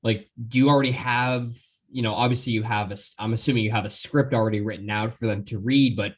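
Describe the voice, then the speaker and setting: A man's voice: lowish voice, steady tone, fast talker. A man hosting a podcast interview, asking casual, rambling questions in a roundabout way.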